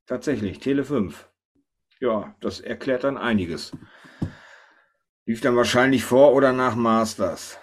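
A man's voice: medium pitch, unhurried, long pauses. A man hosting a casual panel chat, thinking aloud and often tossing questions to the others. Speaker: podcast host.

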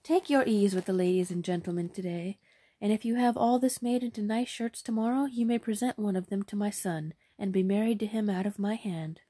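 A brisk, hearty older queen , played by a woman reading a fairy tale, who speaks up loudly and raises her pitch with emphasis. Underneath sits a dark, slightly husky voice, recorded close and dry. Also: dry recording, natural voice. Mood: delighted. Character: brisk, delighted older queen, fond of hard work.

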